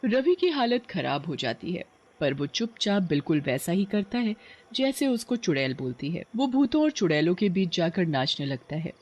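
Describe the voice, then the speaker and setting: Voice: medium pitch, sing-song, moderate pace, a little mellow, dull audio. A narrator reading a scripted horror story in a dramatic storytelling style.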